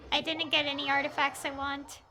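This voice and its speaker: A woman's voice: sits high, flat delivery, fairly quick, noisy background. A woman game streamer giving casual, chatty, rambling live commentary as she plays. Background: game music.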